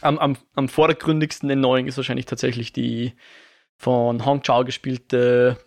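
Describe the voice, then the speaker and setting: A man in a relaxed film podcast chat who talks his thoughts through as they come. He often stumbles and restarts mid-sentence while hunting for names, and his voice sits at an ordinary middle pitch for a man. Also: normal speed, creaky. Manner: hesitant, restarts and self-corrections. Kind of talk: casual film discussion.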